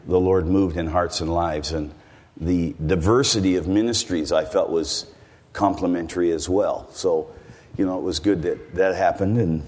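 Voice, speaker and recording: Older american guy preaching, real low voice, folksy and rambly, big echoey church hall. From United States. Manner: folksy, rambling, with asides to the congregation. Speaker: visiting preacher. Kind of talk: sermon. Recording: long hall reverb.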